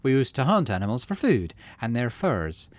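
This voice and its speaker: A man voicing a kindly, practical aunt from a game, explaining things in a gently persuasive way. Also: medium pitch, very animated, moderate pace, no echo, close to an everyday voice. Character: kindly, practical aunt running a colony kitchen. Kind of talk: game dialogue read aloud.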